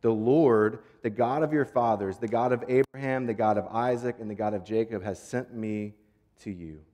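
Man preaching in a reverberant hall, lowish voice. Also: moderate pace, warm tone. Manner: informal, earnest, repeats phrases for rhythm. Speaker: pastor preaching. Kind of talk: conversational sermon with prayer and storytelling.

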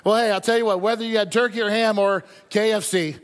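Male pastor, high-pitched voice, chatty informal sermon delivery with repeated phrases, long hall reverb. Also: steady tone, fast talker, clear, resonant tone. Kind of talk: conversational sermon to a congregation. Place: large indoor church hall.

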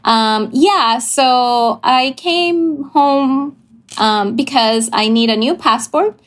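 A woman from Venezuela, a missions pastor chatting casually over a video call, laughing often and pausing on fillers. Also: high-pitched. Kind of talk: casual interview chat. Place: family home.

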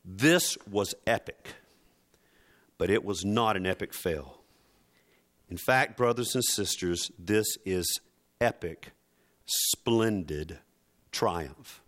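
Slow, deliberate male preacher. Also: low voice, fairly bright tone. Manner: emphatic, dramatic, deliberate phrasing with repetition. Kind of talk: sermon.

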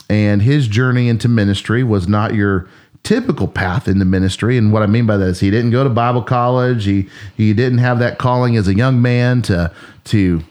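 A man's voice: on the low side, a little mellow. A man who is a pastor, hosting a casual podcast in a playful, rambling way and laughing often.

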